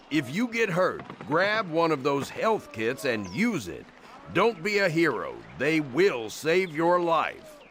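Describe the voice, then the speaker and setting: An actor playing a stern, no-nonsense World War II army sergeant with an American accent, instructing a recruit in a military game. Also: male voice, fairly high pitch. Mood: stern, encouraging. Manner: commanding, no-nonsense. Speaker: weapons training sergeant. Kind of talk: military game tutorial dialogue. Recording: noisy, gunshots and clicks behind.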